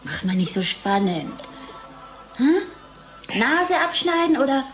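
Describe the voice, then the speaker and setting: Slow, high-voiced tipsy wife. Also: female voice, very animated, music in the background, exaggerated voice. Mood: languid, teasing. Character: bored tipsy wife, sardonic and playfully dreamy. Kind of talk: radio drama acting.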